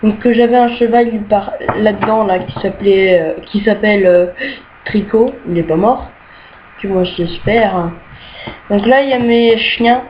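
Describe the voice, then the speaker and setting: A woman's voice: medium pitch, measured pace. A young woman casually showing her rooms in an online game, with many hesitations and easily annoyed by glitches. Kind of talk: casual screen-recorded game tour. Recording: dull lo-fi home mic with faint hiss.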